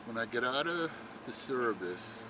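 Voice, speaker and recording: A man's voice: medium pitch, lively intonation, a little slow, rough recording. Older male, mid register, rambling conversational interviewee. Recorded outside, noticeable traffic ambience. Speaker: longtime radio swap meet vendor. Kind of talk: casual interview chat. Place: outdoors at a radio swap meet. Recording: loud outdoor ambience, vehicle noise.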